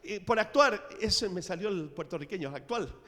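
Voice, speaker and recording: A middle-aged man preaching a sermon in a church, telling the story with dramatic swings in loudness. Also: sits high, animated, moderate pace. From Latin America. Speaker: pastor. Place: church sanctuary. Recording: reverberant church hall.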